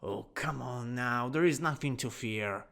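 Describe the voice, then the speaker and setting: A man with an Italian accent playing a gently dominant, doting anatomy teacher in a scripted ASMR roleplay, coaxing and instructing. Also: mid-range voice, a little slow. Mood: coaxing, tender. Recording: long reverberant hall echo.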